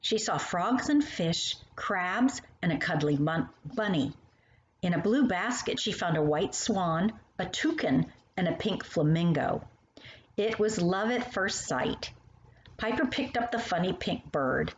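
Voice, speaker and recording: A woman's voice: fairly low pitch, animated, unhurried. A woman reading her own storybook aloud for young children, addressing the little listeners directly. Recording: dull lo-fi sound with faint noise.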